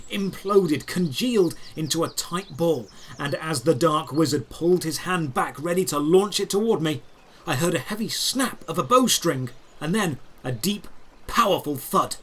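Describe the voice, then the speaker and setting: A man narrating a scripted first-person fantasy adventure in a dramatic, deliberate, descriptive way. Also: fairly high pitch, fairly bright tone.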